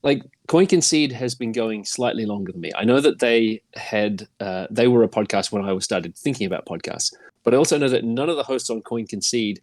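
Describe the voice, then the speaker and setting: A man's voice: lowish voice, brisk, darkish tone, no echo. A middle-aged man with a New Zealand accent chatting casually as a podcast guest, in a rambling, self-deprecating way. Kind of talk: casual chat.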